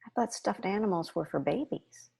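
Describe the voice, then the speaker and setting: An actress reading a caring mother checking on her daughter, gentle and brisk. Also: mid-range voice, animated, vocal fry, husky, exaggerated voice.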